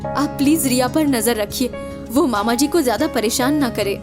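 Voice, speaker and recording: An actress plays a young woman doctor in an audio drama. She sounds composed and caring, with a high voice over soft music. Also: moderate pace, close to an everyday voice. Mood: warm, polite. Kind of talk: Hindi audio drama acting.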